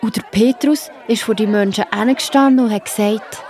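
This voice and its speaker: A woman's voice: fairly high pitch, moderate pace, darkish tone, some chatter behind. A woman with a Swiss German accent narrating a children's Bible story in a scripted storytelling style.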